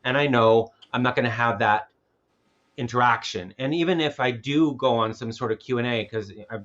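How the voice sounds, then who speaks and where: A man's voice: medium pitch, moderate pace, fairly bright tone, highs cut off. A man speaking casually as a filmmaker and festival organizer on a panel, rambling and often repeating words as he goes.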